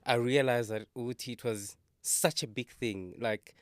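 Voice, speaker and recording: Man from Zimbabwe, mid-pitched, easygoing anecdotal delivery, dry close sound. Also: unhurried, no echo. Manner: relaxed storyteller who mixes in isiZulu words. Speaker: guest, talent agent. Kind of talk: casual podcast interview.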